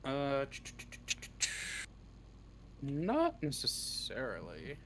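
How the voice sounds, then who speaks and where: A man's voice: mid-range voice, wildly swooping pitch, very slow, fairly bright tone. Male interview guest, script writer. Conversational, hesitant, middle register, noisy recording. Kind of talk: casual interview chat. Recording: clearly noisy background.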